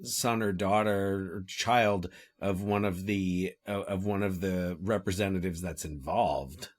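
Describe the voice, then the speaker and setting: A man co-hosting a podcast, talking casually about movies in a halting way, often restarting his thoughts. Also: low-pitched, no echo, studio quality. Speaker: podcast co-host.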